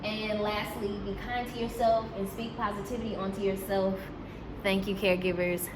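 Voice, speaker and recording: A woman teacher hosting a children's storytime, speaking to young listeners and caregivers in an animated, encouraging way. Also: mid-range voice, normal speed, background noise.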